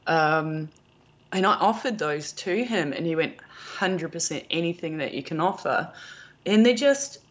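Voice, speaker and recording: Low-pitched New Zealand woman, chatty. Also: lively intonation, a little slow, lo-fi sound. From rural New Zealand. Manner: chatty, anecdotal, quotes conversations. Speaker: podcast guest, film producer and prop maker. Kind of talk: casual interview chat.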